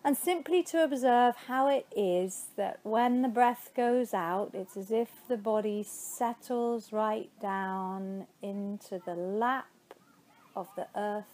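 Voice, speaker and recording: A woman teaching yoga nidra, guiding a meditation in a soothing, unhurried way with spacious pauses between phrases. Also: mid-range voice, darkish tone. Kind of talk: guided meditation.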